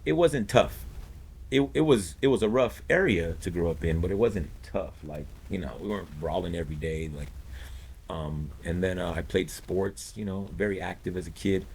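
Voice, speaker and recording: Low, gravelly Los man. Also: moderate pace, darkish tone, a little hiss or hum. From East Los Angeles, United States. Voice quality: low, rich voice. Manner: slangy, joking, says bro often. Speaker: podcast guest, artist and veteran. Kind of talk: casual chat, storytelling.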